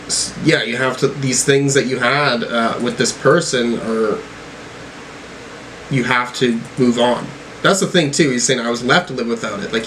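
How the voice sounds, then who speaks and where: A man giving casual, off-the-cuff music commentary as a reviewer, in a halting, informal way with some swearing. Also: mid-range voice, slight background noise, rough recording.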